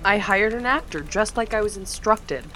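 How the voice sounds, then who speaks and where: A woman's voice: medium pitch, animated, normal speed, fairly bright tone, a little hiss or hum, natural voice, low-quality recording. An actress playing an earnest young woman in her first week at a charity job. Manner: pleading, earnest. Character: earnest young female charity worker, newly promoted.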